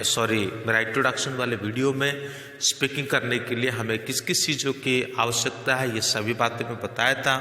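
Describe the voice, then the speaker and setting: A man with an Indian accent teaching spoken English slowly and deliberately, with frequent fillers. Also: mid-range voice, sharp tone. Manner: slow, deliberate explaining with many fillers. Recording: echoey room with long reverb.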